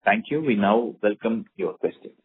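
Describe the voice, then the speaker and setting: A man's voice: lowish voice, normal speed, breathy edge. A man with an Indian accent speaking as a company executive on an earnings call, over a narrow phone line. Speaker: company executive presenting results. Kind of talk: earnings call presentation and answers. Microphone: telephone conference call.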